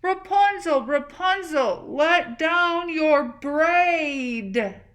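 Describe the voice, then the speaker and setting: A woman voicing a lovesick young prince from a storybook, calling out pleadingly in loud, drawn-out phrases. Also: high-pitched, expressive, voice pushed up, heavily acted. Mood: desperate calling. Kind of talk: storybook read-aloud character voice.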